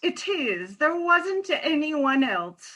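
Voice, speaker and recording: A woman performs a middle-aged former nurse in a comic play reading. Her voice sits high and bright. She speaks frankly, with wry, pointed teasing and an earnest streak. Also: big pitch swings, slow, exaggerated voice. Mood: nervous, confessing.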